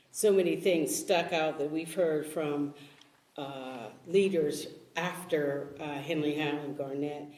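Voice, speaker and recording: A woman's voice: sits low, a little mellow. Woman panelist, chatty and easygoing, roomy church echo, set back from the mic. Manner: chatty, self-deprecating, with fillers. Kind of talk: casual panel remarks. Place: church sanctuary. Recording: echoey church hall, distant mic.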